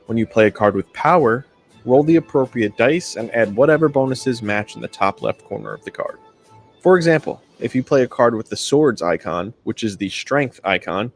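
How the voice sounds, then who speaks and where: A man delivers scripted narration in the manner of a promotional explainer video. His voice sits at a medium pitch. Music plays behind him.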